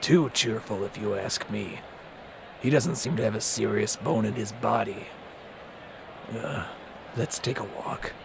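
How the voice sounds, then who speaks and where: A man as a slow-talking cynical ninja commander. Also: fairly low pitch, vocal fry, noisy background. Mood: dry, subdued. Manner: sardonic, emphatic. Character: cynical, blunt male ninja commander, war-weary. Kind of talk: fan radio drama acting. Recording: music and crowd behind.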